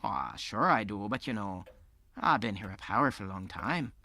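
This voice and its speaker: A man playing a kindly old Irish storyteller, folksy and gentle, telling tales in an audio drama. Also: lowish voice, expressive, moderate pace, dry recording, exaggerated voice. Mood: kindly, playful.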